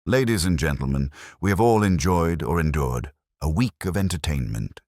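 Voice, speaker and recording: A man voicing a calm, mild police inspector who makes measured, gently pointed speeches in a detective audiobook. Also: very deep voice, dry recording, studio quality. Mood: measured, revealing. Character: mild, calm male police inspector delivering quiet reveals.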